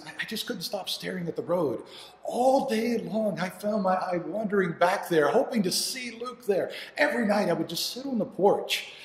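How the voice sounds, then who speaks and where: A man acting a devoted, grieving father in a dramatic monologue, telling of his lost son haltingly and earnestly, with dramatic pauses. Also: high-pitched. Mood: longing. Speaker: actor performing an audition monologue. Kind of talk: dramatic first-person monologue. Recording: echoey hall with long reverb.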